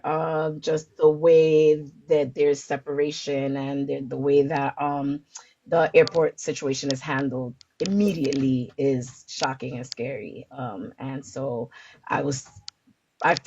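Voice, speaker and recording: A woman's voice: low voice, darkish tone. A woman giving casual interview answers, informally and hesitantly, searching for her words. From the Bronx, New York, United States. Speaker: interview guest, community organizer. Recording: dull lo-fi audio with background noise, clicks.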